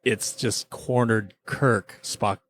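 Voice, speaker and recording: A man's voice: lowish voice, fairly even intonation, takes it slowly, a bit bright, no room sound. A man chatting casually and enthusiastically as a podcast host, rambling like a devoted fan through an episode review.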